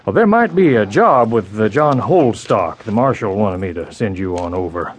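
An actor playing a fair-dealing livery owner in an audio western, with an American accent and a folksy, halting delivery. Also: male voice, lowish voice, animated, a little hiss or hum, close to an everyday voice. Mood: appreciative. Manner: folksy, hesitant with ums. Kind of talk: audio western drama acting.

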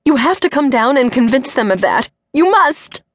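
A woman's voice: fairly high pitch, lively intonation, normal speed, no echo, pitched above the performer's own voice. A woman voicing a strong, elegant mother who is gasping and pleading through tears. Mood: panicked. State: crying.

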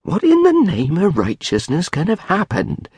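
A man's voice: medium pitch, sing-song, measured pace, fairly bright tone, breathy edge, no echo, heavily acted. Audiobook role: worried elderly West Country villager done by a male reader, grave, uneasy, recorded dry. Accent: rural English West Country. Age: older. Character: anxious, gentle old village grandfather. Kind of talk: audiobook character voice.

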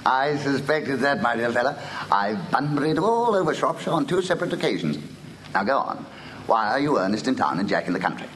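An actor playing a flippant, witty young English gentleman of leisure, with upper-class accent and arch, precise diction in a classic stage comedy. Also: mid-range voice, very animated, brisk, rough recording. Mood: gleeful, smug. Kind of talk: classic stage comedy audio drama, old-fashioned acting. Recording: old recording with faint hiss.

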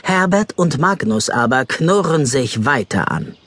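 A man narrating a scripted children's story as an expressive storyteller. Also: mid-range voice, a little slow, no room sound.

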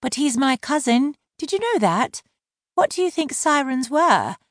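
Woman voicing a high, indignant young mermaid. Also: sing-song, a little slow, no room sound, studio quality. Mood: defiant. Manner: indignant, crisp. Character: proud adult mermaid woman, prickly and insistent.

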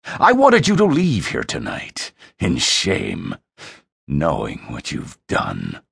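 Man performing contemptuous emperor, raspy, commanding, wildly swinging pitch, fantasy audiobook. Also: huge pitch swings, takes it slowly, a bit bright, no echo, heavily acted. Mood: bitter contempt. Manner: scornful, commanding, snorting disdain. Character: towering thunderous emperor, a contemptuous domineering father. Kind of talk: dramatic fantasy audiobook character voice.

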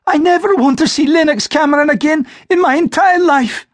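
A male narrator voicing a young widowed woman, pitched up and speaking softly and earnestly. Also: sing-song, measured pace, breathy edge, no echo, exaggerated voice. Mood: distressed. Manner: raised pitch, soft and earnest. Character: young widowed woman, heartsick yet composed.

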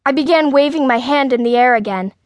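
Woman audiobook narrator, higher-pitched, dry close sound, playing an outspoken schoolgirl telling her own story. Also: fairly quick, dry recording. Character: indignant outspoken sixth-grade girl telling her story. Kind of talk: scripted first-person children's story narration.

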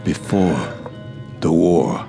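An actor playing a dazed, hesitant ship passenger in a radio drama, speaking politely in halting phrases. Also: male voice, deep voice, creaky, over music, full character voice. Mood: confused, dazed. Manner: halting, uncertain, formal and polite. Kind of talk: audio drama acting.

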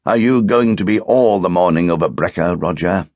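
A man's voice: low-pitched, moderate pace, a little mellow, dry recording, voice pushed up. A man voicing a laconic, absent-minded English husband in an audiobook, with a dry, curt, humorous manner. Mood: dryly exasperated.

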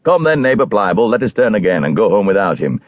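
A man's voice: lowish voice, very animated, fast talker, dry recording, narrow, tinny audio. A man voicing a stubborn, scornful neighbour in a storybook, blustering and dismissive. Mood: exasperated.

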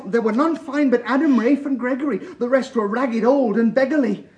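A man's voice: very high voice, moderate pace, darkish tone. A cringing household servant in an old radio Shakespeare comedy. He is flustered and hesitant, forever excusing himself, on a dull, lo-fi recording. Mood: nervous excuses.

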